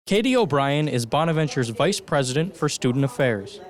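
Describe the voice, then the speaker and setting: A man's voice: on the high side, expressive, normal speed. A young American man narrating scripted news reports as a student television reporter.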